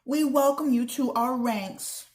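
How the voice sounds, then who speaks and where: A woman's voice: high voice, slow, a little mellow, echoey room, voice pushed up. A woman voicing a teasing, sarcastic young girl from a storybook, with exaggerated delivery. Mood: mocking.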